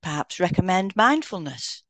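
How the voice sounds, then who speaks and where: A woman's voice: fairly low pitch, sing-song, normal speed, a bit bright, no echo. A woman co-hosting an educational podcast, reading scripted explanations in an instructive way. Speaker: podcast co-host, hypnotherapist. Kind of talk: scripted educational podcast read aloud in turns.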